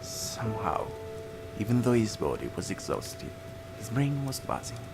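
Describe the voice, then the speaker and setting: Low-voiced man reading over loud music. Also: very animated, measured pace, vocal fry. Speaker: let's play host. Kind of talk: reading a visual novel aloud in a playthrough. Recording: music playing loudly behind the voice. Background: game music.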